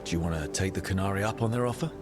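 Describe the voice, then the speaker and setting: An actor playing an earnest young adventurer asking polite, curious questions. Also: male voice, low voice, rapid-fire, natural voice. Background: music.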